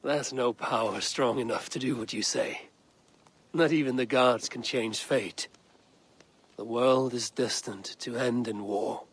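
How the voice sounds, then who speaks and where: Blunt, grim Viking warrior. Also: male voice, mid-range voice, fairly even intonation, measured pace, husky. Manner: blunt, stern. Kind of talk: video game cutscene acting.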